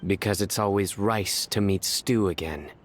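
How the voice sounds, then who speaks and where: A man voicing a stern, deadpan young judge who speaks formally and loves bad puns. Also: sits low, normal speed, a little hiss or hum, lightly acted. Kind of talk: video game voice acting.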